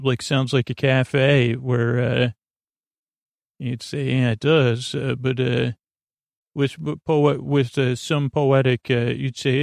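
A man hosting a sleep podcast, talking in a meandering, halting monologue full of restarts and tangents with a creaky voice. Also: mid-range voice, dry recording, studio quality. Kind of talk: rambling late-night monologue.

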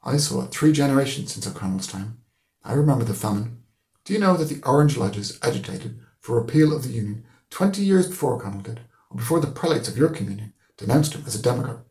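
Man voicing a pompous old schoolmaster, raised pitch. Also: lively intonation, fast talker, darkish tone, roomy sound, natural voice. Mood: gleeful, stern. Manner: emphatic, lecturing, rhetorical questions. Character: pompous, opinionated old male schoolmaster, preachy and gleeful. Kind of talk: audiobook character voice.

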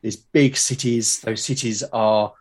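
A British man talking casually as an interview guest, explaining things haltingly and often repeating his words. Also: fairly low pitch, fairly even intonation, a little mellow. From Britain. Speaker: podcast guest, game master and podcast creator. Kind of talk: casual conversational interview talk.

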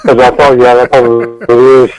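A man speaking as a guest author, croaky tonight and passionate, often repeating himself. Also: medium pitch, little pitch movement, moderate pace, a crowd in the background, poor audio. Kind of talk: casual interview chat.

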